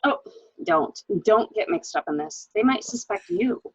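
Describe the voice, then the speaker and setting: Woman acting a nervous, husky-voiced reluctant wife. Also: medium pitch, expressive, moderate pace. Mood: anxious, pleading. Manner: agitated, pleading, hesitant. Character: nervous, reluctant wife caught in a murder cover-up. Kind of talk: amateur dramatic reading of a murder mystery. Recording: dull, muffled lo-fi microphone.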